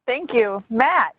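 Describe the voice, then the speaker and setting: A woman's voice: fairly high pitch, narrow, tinny audio, slight background noise. A woman moderating a panel discussion, introducing speakers and thanking them. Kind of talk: event hosting, thanking panelists.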